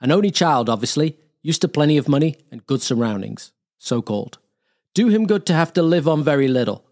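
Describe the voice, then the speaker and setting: A man's voice: fairly high pitch, expressive, fairly quick, darkish tone. A man playing a wartime air force airman, reading a blunt, slangy diary in a terse, clipped manner. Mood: wry, dismissive. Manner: clipped, terse, matter-of-fact.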